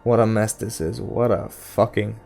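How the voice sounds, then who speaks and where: Man playing a weary, gravelly, exasperated guy. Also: lowish voice, fairly even intonation, moderate pace, a little mellow, soft background music. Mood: weary dismay.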